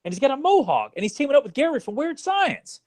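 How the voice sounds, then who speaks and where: A man's voice: very high-pitched, wildly swooping pitch, quick pace, darkish tone. A man co-hosting a podcast, talking casually about movies in a rambling way full of asides. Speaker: podcast co-host.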